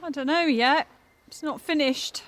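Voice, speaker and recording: A woman's voice: sits high, a little slow, a bit bright, faint music behind. A woman playing a bawdy, world-weary, foul-mouthed singer with a volatile temper in an audio drama. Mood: hungover, bawdy. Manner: blunt, swearing, dramatic.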